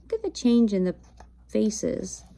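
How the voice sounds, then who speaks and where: A woman reading a children's picture book aloud expressively, with animated emphasis, acted sound effects and chatty asides to her listeners. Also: medium pitch, measured pace, vocal fry, noisy background. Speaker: read-aloud storyteller.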